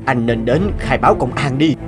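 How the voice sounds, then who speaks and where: A man's voice: mid-range voice, moderate pace, over music, lightly acted. Blunt cartoon doctor, voiced by a man. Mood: grave. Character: matter-of-fact middle-aged male hospital doctor.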